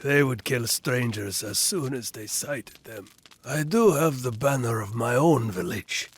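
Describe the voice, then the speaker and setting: An actor playing a dry, skeptical Norse skald in video game cutscenes. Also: male voice, medium pitch, a little slow, breathy edge. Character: wry Norse skald, sardonic and cautious. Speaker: game character.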